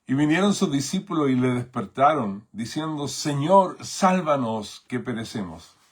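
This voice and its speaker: Husky Chilean pastor preaching. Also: male voice, mid-range voice, lively intonation, measured pace. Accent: Chilean Spanish. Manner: thoughtful, many fillers and tag questions. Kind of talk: sermon.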